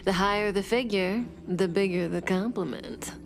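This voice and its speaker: A woman playing a suave, teasing villainous outlaw in a video game, coy and deliberate in delivery. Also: mid-range voice, creaky, close to an everyday voice. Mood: coy, amused. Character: suave, teasing female villainous outlaw, unhurried and self-assured.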